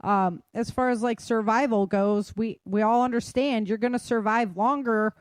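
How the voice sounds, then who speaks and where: A woman's voice: a little high, fairly even intonation, moderate pace, dry recording. A woman hosting a casual talk podcast, explaining advice in an emphatic, colloquial way and often checking in with "right?". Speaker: podcast host.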